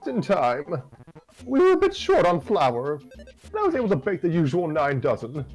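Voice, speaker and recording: A man's voice: a little high, wildly swooping pitch, moderate pace, faint music behind, full character voice. A man voicing an older woman, a polite, bustling kitchen baker with a matter-of-fact manner. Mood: polite, businesslike.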